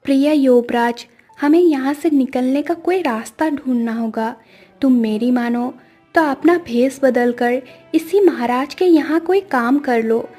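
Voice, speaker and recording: A young cartoon princess acted by a woman, resolute and composed, with a measured, persuasive way of speaking. Her voice is high, with faint music behind. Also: mellow. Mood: urgent planning. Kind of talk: cartoon story character voice.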